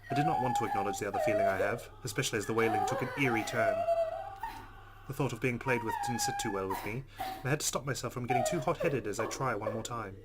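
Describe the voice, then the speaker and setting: A man playing a game, reading its text aloud dramatically and reacting in a jumpy, expressive way. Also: medium pitch, fast, heavy background noise. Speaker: let's player. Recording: loud game sound behind voice. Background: game audio.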